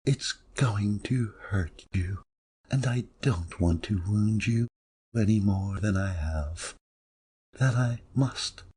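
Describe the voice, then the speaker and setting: A man playing a passionate, defiant actress in a dramatic audiobook reading, with slow, melodramatic, drawn-out emphasis. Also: on the low side, dark tone, breathy edge, exaggerated voice. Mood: subdued, strained. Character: passionate, defiant stage actress, devoted to her lover.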